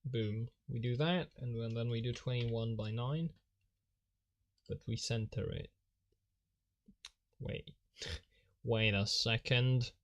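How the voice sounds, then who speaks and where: A Polish-speaking man talks slowly through his drawing on a live stream. He rambles, mocks himself and chuckles at his own slips. His voice sits at a middle pitch and is caught on a desk microphone. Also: fairly even intonation. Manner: rambling, self-mocking, chuckles often.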